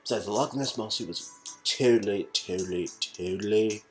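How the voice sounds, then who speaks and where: Woman acting a low-voiced, sardonic young protagonist. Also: moderate pace, soft background music. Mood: mock-earnest.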